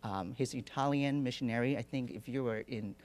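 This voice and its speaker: A man's voice: medium pitch, fairly quick. Middle-aged man, mid voice, hesitant, wry; handheld mic, lecture hall. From Taiwan. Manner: relaxed, hesitant with many fillers, self-deprecating humor. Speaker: artist giving a lecture. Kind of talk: lecture presentation. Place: lecture hall with projection screen. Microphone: handheld microphone.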